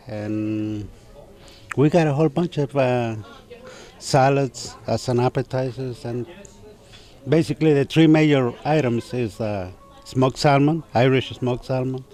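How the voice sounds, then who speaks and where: A man's voice: mid-range voice, warm tone. A plain-spoken man from Mexico who grew up in Brooklyn, answering interview questions as a chef and repeating his phrases. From Mexico, raised in Brooklyn, New York. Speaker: executive chef being interviewed. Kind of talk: casual on-camera interview. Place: inside a restaurant.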